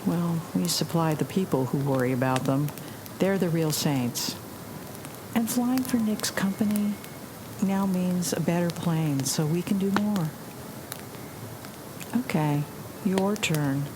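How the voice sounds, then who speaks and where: A plucky young woman pilot in a wartime audio drama. Her voice sits a little low and she takes her time, wry and teasing, with clear noise behind her. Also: slow, long pauses. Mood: earnest. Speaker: actor.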